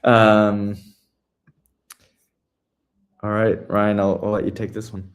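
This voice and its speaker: A man's voice: lowish voice, level tone, slow pace. A man co-presenting a community town hall in a casual, loosely phrased and hesitant way.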